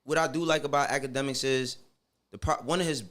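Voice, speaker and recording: A man's voice: mid-range voice, steady tone, fast talker, a bit bright. A middle-aged man with a New York accent, speaking candidly and casually as an interview guest in slangy, emphatic phrases that often check the listener follows. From Harlem, New York, United States. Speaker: podcast guest.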